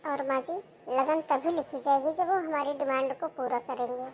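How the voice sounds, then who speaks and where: A woman's voice: high-pitched, moderate pace, close to an everyday voice. A woman voicing a greedy, scheming young daughter-in-law in a cartoon, bossy and pushy. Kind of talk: Hindi cartoon voice acting.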